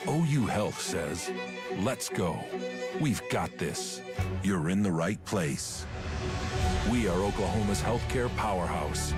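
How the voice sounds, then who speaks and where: A man with an American accent reading dramatic scripted ad narration, solemn and emphatic with deliberate phrasing. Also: low voice. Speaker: commercial voice-over narrator. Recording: loud music bed under voice.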